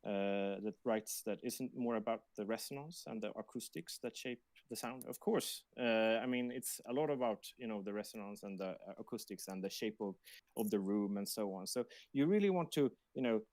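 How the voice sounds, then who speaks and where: A man's voice: lowish voice, moderate pace, a little mellow, no room sound. Hesitant, enthusiastic man, close dry sound. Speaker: sound and music person on a game team. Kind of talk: casual livestream talk.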